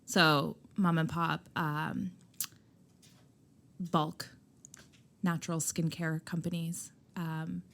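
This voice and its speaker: A woman who founded a skincare brand, talking loud and emphatic as a guest in a casual interview. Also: deep voice, flat delivery, very slow, creaky, pauses often. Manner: loud, emphatic, laughs easily. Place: Nova Scotia, Canada.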